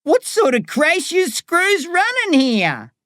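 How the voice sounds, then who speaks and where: A woman voicing a loud, furious red-haired female prison inmate who barks her words out slowly. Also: very high-pitched, wildly swooping pitch, dry recording, studio quality, pitched above the performer's own voice, full character voice. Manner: barking, drawn-out yelling.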